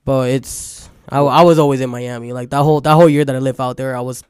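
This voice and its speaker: A man's voice: mid-range voice, fast, clean tone, no room sound, clean studio sound. A young man from Venezuela with a Venezuelan accent and a Spanish first language, chatting casually as a podcast guest and rambling with lots of "like" fillers. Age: young adult. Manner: rambling, frequent "like" fillers, relaxed storytelling. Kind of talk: casual chat.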